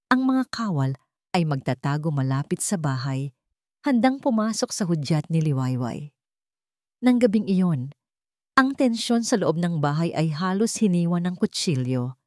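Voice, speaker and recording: Expressive, low-pitched woman storyteller. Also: dry recording, clean studio sound. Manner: suspenseful, emotive. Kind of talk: dramatic scripted narration.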